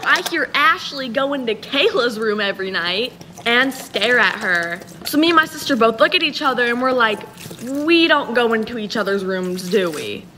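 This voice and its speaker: Bright, high-voiced young woman telling a story. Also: slight background noise. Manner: dramatic retelling, acting out dialogue. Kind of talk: casual storytime narration.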